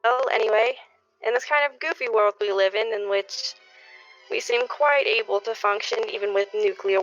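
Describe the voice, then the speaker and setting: A woman acting in a radio play as a sentimental middle-aged neighbor, speaking in a measured, folksy speechmaking way. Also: mid-range voice, a bit bright, no room sound, close to an everyday voice. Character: sentimental middle-aged neighbor giving an affectionate party toast. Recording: dull, lo-fi recording.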